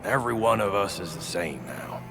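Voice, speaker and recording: An actor playing a weary, anxious male refugee settler in a video game, speaking earnestly and haltingly in rough, folksy phrases. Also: low-pitched, expressive. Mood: weary, bitter. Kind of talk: dramatic video game voice acting. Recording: long hall reverb, music behind. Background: game music.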